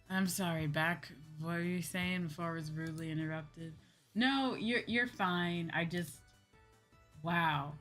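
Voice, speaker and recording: A woman's voice: lowish voice, slow, a bit bright. Exasperated woman livestreamer over background music. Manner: exasperated, dramatic, repeats phrases for emphasis. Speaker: livestreaming VTuber host. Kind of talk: casual livestream chat, ranting.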